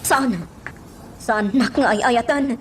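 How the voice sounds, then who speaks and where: A woman's voice: fairly high pitch, takes it slowly, slight background noise. A woman playing a desperate, defiant mother in a radio melodrama, emotional and dramatic in delivery. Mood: wary. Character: desperate, defiant mother longing for her child.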